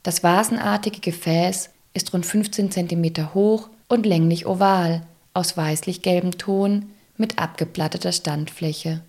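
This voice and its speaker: Brief: female narrator for a radio play, slightly low voice, scripted storytelling. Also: steady tone, a little slow, few pauses, a little mellow. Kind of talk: scripted audio drama narration.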